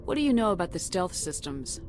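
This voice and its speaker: A composed female military commander from a video game. Her voice sits a little low, and she questions and instructs with firm, steady authority. Soft music plays behind her. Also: brisk. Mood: probing.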